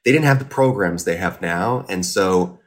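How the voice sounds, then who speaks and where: A man's voice: sits low, normal speed. An American man from Indiana, a podcast guest, telling personal stories casually and reflectively, often interrupting himself.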